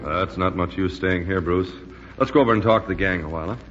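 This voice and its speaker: A man's voice: low voice, quick pace, fairly bright tone, faint music behind. An actor playing a kind, fatherly American squadron commander in an old-time radio drama. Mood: consoling. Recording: reverberant, dull, hissy vintage recording.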